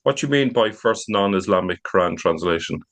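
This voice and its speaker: A man's voice: fairly low pitch, animated, brisk, a bit bright. A man hosting an interview, welcoming his guest and asking casual questions. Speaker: interview host.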